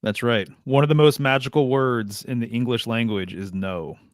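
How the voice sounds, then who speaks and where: A man's voice: mid-range voice, moderate pace, dry recording, studio quality. A man who reads tarot, a podcast guest explaining his ideas in a reflective, casual way with filler uhs. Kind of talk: casual conversational podcast chat.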